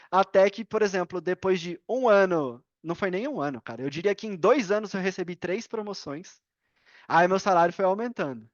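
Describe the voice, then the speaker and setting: A man's voice: high-pitched, no room sound. A Brazilian man telling personal stories as a podcast guest, informal and slangy, acting out bits of dialogue. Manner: informal, slangy, animated retelling with acted-out dialogue. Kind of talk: casual personal storytelling. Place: indoors at a computer on a video call. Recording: slightly dull, dry online-call audio.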